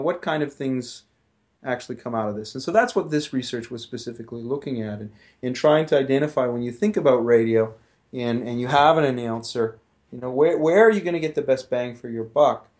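A man's voice: mid-range voice, moderate pace. A middle-aged man, a professor guest, explaining his research conversationally with frequent restarts and "you know".

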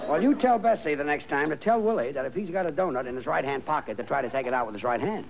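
Lively old-radio comedy straight man, crackly recording. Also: on the high side, fast, vocal fry, a faint crowd. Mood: bemused. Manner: eager, repeats questions, straight man. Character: inquisitive comic straight man chatting about family news. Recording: dull old radio recording, audience noise.

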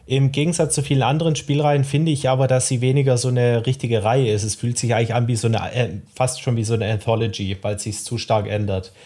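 A man's voice: medium pitch, steady tone, fast, hardly any pauses, mellow. A man appearing as a podcast guest, talking in a dry, thoughtful way with some hesitation. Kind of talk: casual podcast talk.

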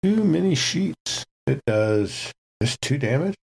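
A man chats casually as a player in a tabletop game. His voice is husky with a gravelly creak, and his pitch moves in a lively way. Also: takes it slowly.

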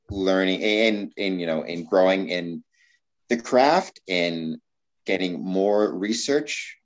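Low-voiced man hosting an interview. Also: muffled recording. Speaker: podcast host interviewing guests. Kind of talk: conversational interview.

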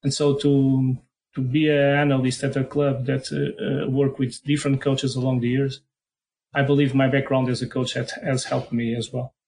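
A middle-aged man from Portugal with a strong Portuguese accent, answering interview questions in English with many pauses and repeated words. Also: medium pitch, flat delivery, vocal fry, darkish tone. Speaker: head of football performance analysis.

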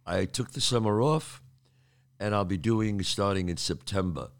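A man's voice: fairly low pitch, normal speed. An older man hosting his show, talking casually and streetwise with a cold in his throat. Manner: streetwise, chatty, repeats himself. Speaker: podcast host. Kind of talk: casual monologue to listeners.

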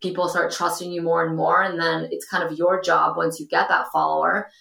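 A young woman talks in a low-pitched, gravelly voice, chatty and full of ums and you-knows. She speaks casually as a podcast guest, and her voice rings a little in an echoey room. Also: flat delivery, a little fast, fairly bright tone. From Portugal. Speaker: guest, influencer. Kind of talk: casual interview talk.

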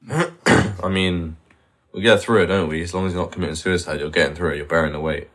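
A man's voice: sits low, fairly even intonation, fairly quick, a bit bright. A young man in a casual podcast chat, speaking in short, blunt remarks. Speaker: podcast co-host.

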